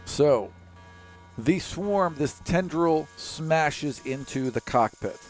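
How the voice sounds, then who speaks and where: A man acting as game master, narrating the action in a casual, halting, conversational way. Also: fairly high pitch, animated, soft background music.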